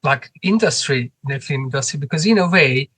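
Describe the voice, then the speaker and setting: A man from Hungary with a Hungarian accent, answering interview questions in English as a guest, often pausing and restarting his phrases. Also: medium pitch, animated, fairly bright tone, slightly rough, no room sound. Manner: halting, restarts phrases, non-native grammar. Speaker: cinema manager, guest.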